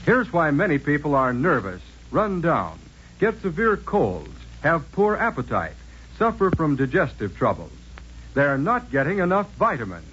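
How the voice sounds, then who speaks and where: Casting: male American 1940s radio narrator, fairly high and very expressive, scripted delivery, dull lo-fi broadcast sound. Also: normal speed, a little hiss or hum. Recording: muffled old-time radio recording.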